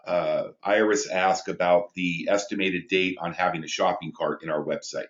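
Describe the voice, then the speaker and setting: A man with a big booming voice and a very low pitch leads a business training call. He speaks from a small studio that sounds roomy and a little dull. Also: steady tone, normal speed. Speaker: host reading viewer questions. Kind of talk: live webinar training talk.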